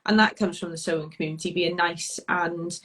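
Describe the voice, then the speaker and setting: A woman's voice: lowish voice, steady tone, brisk, a bit bright. A woman with a Welsh accent, a guest chatting casually on a video call, talking freely with many ums and frequent laughs. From Wales. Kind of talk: casual chat.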